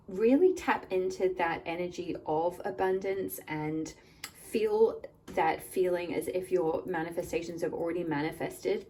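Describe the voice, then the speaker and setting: Slightly croaky woman reading tarot. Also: lowish voice, far from the mic, poor audio. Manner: gentle, warm address to viewers. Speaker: tarot reader and channel host. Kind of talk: pick-a-card tarot reading. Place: indoors at a table, hands-only camera.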